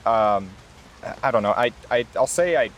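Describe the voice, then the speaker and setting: A man's voice: medium pitch, lively intonation, normal speed, dull audio, a little hiss or hum. A man playing a tabletop role-playing game, improvising his actions and dialogue casually.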